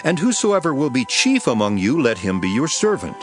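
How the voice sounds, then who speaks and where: A man playing a solemn teacher of parables in a dramatized scripture recording, speaking in a measured, declamatory way. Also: mid-range voice, very animated, over music, lightly acted. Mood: solemn, authoritative.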